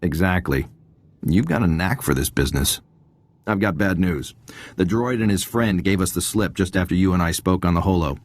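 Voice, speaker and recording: A man's voice: deep voice, moderate pace. An actor playing a confident male intelligence agent in a video game, wry and businesslike as he gives briefings.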